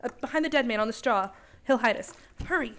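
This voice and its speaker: A woman playing a bold, curious young lady in a radio play, brisk, arch and dryly teasing. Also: on the high side, a bit bright, close to an everyday voice. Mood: brisk, insistent. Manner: brisk, arch, self-possessed.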